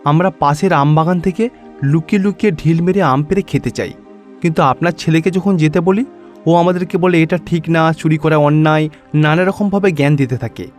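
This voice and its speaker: A man's voice: a little high, a little fast, a little mellow, faint music behind, close to an everyday voice. A man voicing a mischievous young boy, blunt and complaining, in a cartoon story.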